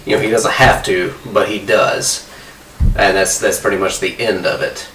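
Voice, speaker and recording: A man on a podcast panel, giving conversational film analysis in a measured, descriptive way. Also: low-pitched, steady tone, a bit bright. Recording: distant, echoey, faint background noise.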